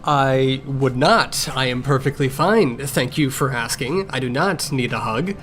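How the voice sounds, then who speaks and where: A man's voice: mid-range voice, lively intonation, unhurried, a bit bright. A man voicing a grumpy, sarcastic blind young cat healer in a fan Q&A, with a curt, irritable and defensive manner. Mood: curt, dismissive. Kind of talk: improvised in-character fan Q&A. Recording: music behind the voice.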